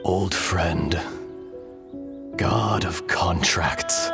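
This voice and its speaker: A very low, slow voice of an ancient dragon in human guise. The video game character is grave and weary, speaking in archaic, formal phrases. Loud music swells behind. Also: male voice, creaky, exaggerated voice. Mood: solemn, resigned. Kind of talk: scripted video game drama.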